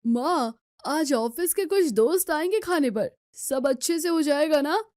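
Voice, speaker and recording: A woman's voice: high-pitched, lively intonation, unhurried, darkish tone, dry recording, studio quality, pitched above the performer's own voice. A woman voicing a mild, agreeable young man, the easygoing son in an Indian family story. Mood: hopeful.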